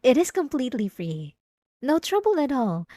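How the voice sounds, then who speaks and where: A woman playing a sweetly devout, possessive young church girl in a scripted ASMR roleplay, soft-spoken and halting, with sugary politeness and an unsettling edge. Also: sits high, very animated, no room sound. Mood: sweet, solicitous. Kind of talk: scripted ASMR roleplay monologue addressed to the listener.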